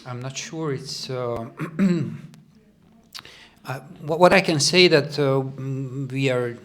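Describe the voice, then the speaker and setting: A man from Hungary, a band member, answering hesitantly with many pauses and fillers. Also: medium pitch.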